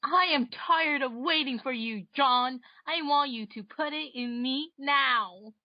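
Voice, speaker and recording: A woman acts out a lovestruck, pushy teenage schoolgirl in a high voice. It is an amateur dramatic reading of fan fiction. The recording is close and dry, slightly dull and lo-fi. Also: takes it slowly, dry recording. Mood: insistent.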